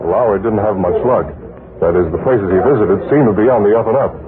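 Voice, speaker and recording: An actor playing a calm, reasonable male newspaper publisher in 1940s radio style. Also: fairly low pitch, a little fast, close to an everyday voice. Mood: calm inquiry. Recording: scratchy, noisy, narrowband 1940s radio recording.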